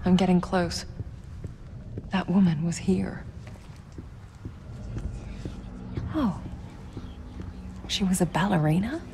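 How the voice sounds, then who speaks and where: A wry, determined young woman thinks aloud as she investigates in a video game. Her voice is fairly low and she takes her time, with a dry murmured delivery. Clear background ambience sits behind her. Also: a little slow, warm tone, slight background noise. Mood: curious, wry. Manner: dry, wry, musing to herself. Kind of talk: video game acting, murmured inner monologue.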